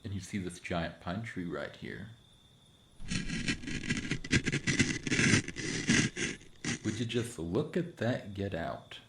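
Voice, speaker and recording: A man voicing a mischievous, friendly forest cryptid in a casual, teasing ASMR roleplay monologue. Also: low-pitched. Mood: playful showing off. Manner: casual, teasing, boastful, chuckling delivery. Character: playful prankster forest cryptid, friendly, mischievous, clawed monster. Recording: roomy echo, clear background noise.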